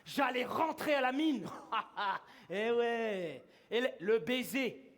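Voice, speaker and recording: Brief: very high-pitched male storyteller, wry and chuckling, theatrical monologue. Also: animated, slow, fairly bright tone.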